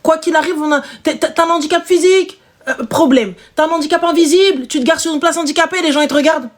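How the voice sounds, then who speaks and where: Woman, high voice, casual live chat, emphatic, repetitive, slangy. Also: fast. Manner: emphatic, repeats phrases, slangy street French. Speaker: live stream host; single mother.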